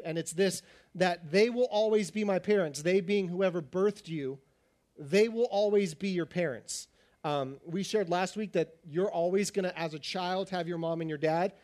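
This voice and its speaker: A man with a high voice delivers a sermon at a quick, energetic clip. His voice is slightly raspy. He keeps a lively, conversational tone with his congregation. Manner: fast-talking, animated preacher. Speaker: pastor.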